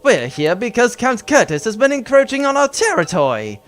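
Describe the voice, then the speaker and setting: High, bombastic villainous space baron. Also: male voice, big pitch swings, normal speed, fairly bright tone, heavily acted. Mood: indignant ranting. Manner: pompous, ranting, theatrical. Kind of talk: comic sci-fi audio drama acting. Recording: music behind the voice.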